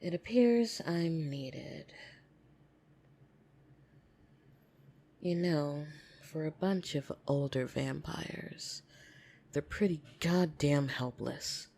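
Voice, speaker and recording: A woman voicing a lonely, flirtatious vampire in an ASMR roleplay, soft and breathy, teasing and intimate with hesitant pauses, tender yet easily irritated. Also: deep voice, full character voice. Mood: wistful, affectionate. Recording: soft piano music behind.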